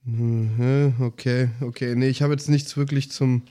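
A man talking casually and haltingly as a player in a tabletop game, thinking aloud. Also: mid-range voice, fairly even intonation, dark tone, no echo. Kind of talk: casual improvised table talk. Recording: clear background noise.

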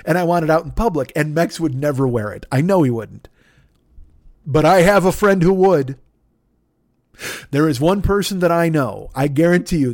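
A man with a fairly high-pitched voice tells a rambling, profane comic story as a podcast host. He swears constantly and acts out the exchanges he quotes. The sound is dry and close, with no room echo. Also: a little mellow.

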